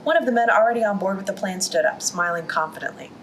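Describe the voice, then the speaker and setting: A young woman reading a novel aloud at a library storytime, in an even reading voice with quick restarts after slips. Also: mid-range voice, slight background noise.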